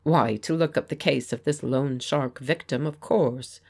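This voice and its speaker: A woman reading the part of a pleasant, confident gentleman painter who speaks with quiet authority. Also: a bass voice, unhurried, creaky, slightly rough, heavily acted. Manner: pleasant, firm, persuasive. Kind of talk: audiobook character voice.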